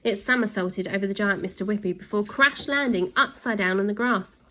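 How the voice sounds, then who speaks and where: A woman reading a children's storybook aloud, with lively voices and sound effects. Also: medium pitch, a little fast. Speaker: storybook reader.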